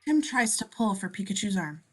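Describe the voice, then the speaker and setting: A woman reads stage directions as scripted narration. Her voice sits at a medium pitch. She describes the action between the actors' lines in a table read. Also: sing-song, normal speed, a little mellow. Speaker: scene description reader.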